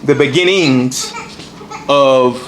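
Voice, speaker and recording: A man's voice: mid-range voice, drawn-out words, fairly bright tone, a little hiss or hum. Man teaching a temple class. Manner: call-and-response, repeats points with "all right". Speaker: religious teacher. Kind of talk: temple lesson to a congregation. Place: temple hall.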